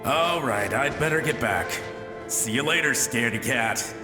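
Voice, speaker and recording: A man's voice: medium pitch, lively intonation, moderate pace, lightly acted. A man playing an echoing ghost soldier. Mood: chatty. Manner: folksy and chatty, then frantic barked orders. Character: ghost of a male soldier lighthouse keeper, friendly then panicked. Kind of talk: game voice acting. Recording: echoey ghostly reverb. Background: game music.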